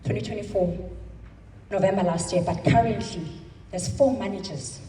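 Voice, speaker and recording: South African woman, thirties, emphatic motivational speaker, slow dramatic pauses, distant from the mic, long hall reverb. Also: mid-range voice, crowd noise. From South Africa, Eastern Cape. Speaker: convention speaker. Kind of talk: motivational speech. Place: large hall.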